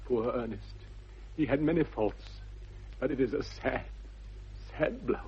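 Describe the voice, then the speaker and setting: A dull vintage radio recording of a well-bred English gentleman. His voice is very breathy and husky, mid-pitched, with formal, polite phrasing. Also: measured pace. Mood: mock mournful. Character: well-bred young English gentleman feigning mournful gravity. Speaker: actor in a radio play. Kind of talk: 1960s British radio comedy acting.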